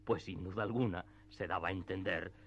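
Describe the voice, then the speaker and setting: A man narrating a classic tale for radio theatre in a measured storytelling manner. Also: low-pitched.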